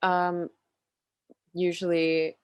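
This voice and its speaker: A woman in her twenties from Finland, a podcast guest talking casually on a video call, soft-spoken with many "like" fillers and frequent giggles. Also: lowish voice, level tone, extremely slow, clean tone, no room sound. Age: 20s. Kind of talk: casual interview chat.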